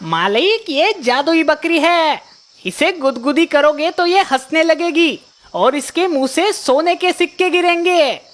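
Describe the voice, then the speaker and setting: A woman voicing a timid, servile ghost in an animated story, deferential and pleading. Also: high voice, a little slow. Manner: deferential, pleading, stammering when scared. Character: timid, servile ghost offering magic gifts. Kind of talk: animated moral story acting.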